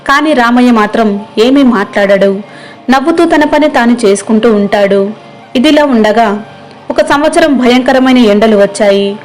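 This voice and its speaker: Higher-pitched woman narrating a story. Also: moderate pace, a little mellow, soft background music. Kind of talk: scripted moral story narration.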